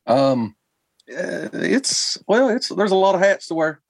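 A man in his forties from Kentucky, a musician guest talking casually with many pauses and restarts. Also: high voice, very animated, vocal fry, no room sound. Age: 40s. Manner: halting, many ums and restarts. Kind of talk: casual interview chat.

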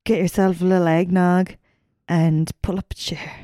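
A woman's voice: fairly low pitch, level tone, normal speed, warm tone, dry recording, clean studio sound. A woman with an Irish accent co-hosting a podcast in casual chat over drinks, playful, giggly and sweary. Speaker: podcast co-host.

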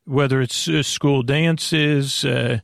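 Male sleep podcast host, creaky and gravelly, mid-range pitch, close dry sound, halting and meandering delivery. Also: steady tone, no room sound. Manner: meandering, halting, self-deprecating. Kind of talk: rambling, off-topic bedtime monologue.

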